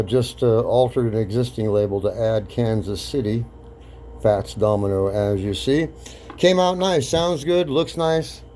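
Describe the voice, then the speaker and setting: A man's voice: mid-range voice, mellow. A man hosting a hobby demonstration video, talking casually to his viewers. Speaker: host of a record-cutting hobby video series. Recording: clear background noise.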